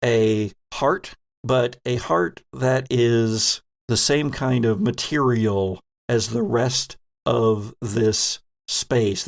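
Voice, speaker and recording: Slow, gravelly man narrating a game. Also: medium pitch. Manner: descriptive, deliberate, pausing between phrases. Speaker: game master. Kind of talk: improvised narration of a tabletop horror game.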